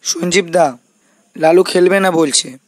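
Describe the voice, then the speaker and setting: A man's voice: high-pitched, measured pace, soft background music. A man voicing a pleading young village football teammate with an informal, questioning manner, in a cartoon. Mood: reporting.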